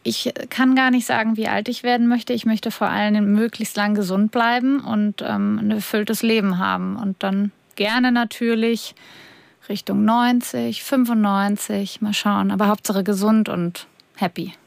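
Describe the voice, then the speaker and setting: A woman's voice: mid-range voice, fairly even intonation, a little slow, gravelly, no room sound. A woman in her late thirties speaking as an expert guest, explaining things in a radio studio interview.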